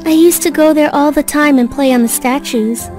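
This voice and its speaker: A woman's voice: high voice, normal speed, a little mellow, close to an everyday voice. An actress voicing a shy, sweet, polite teenage schoolgirl in an anime-style game. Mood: gentle. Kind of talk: visual novel voice acting. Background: game music.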